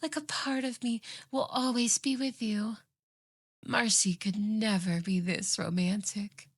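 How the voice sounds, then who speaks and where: A woman's voice: medium pitch, steady tone, measured pace, breathy edge, dry recording, clean studio sound. A woman voicing a clingy, boastful, obsessive fox girl in an ASMR roleplay, coaxing and doting in a theatrical way. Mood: pleading, possessive.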